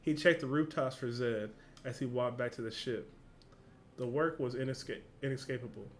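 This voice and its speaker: A man's voice: medium pitch, moderate pace, slight background noise. A man with a casual, slangy, informal way of talking, hosting a reaction video and reading along.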